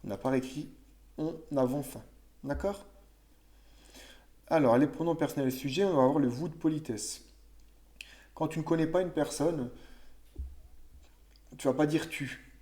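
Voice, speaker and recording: A man's voice: on the high side, normal speed, pauses often, warm tone. A man teaching French grammar to children in a conversational way, thinking aloud and correcting himself as he goes.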